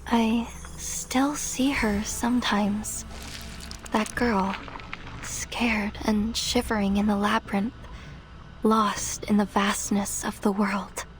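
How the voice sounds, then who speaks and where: A woman voicing a determined, wistful young explorer in a video game, speaking in a measured, reflective and earnest way. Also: mid-range voice, steady tone, slight background noise, natural voice, poor audio. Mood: wistful, hushed. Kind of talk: scripted game character voice lines. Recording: music behind the voice.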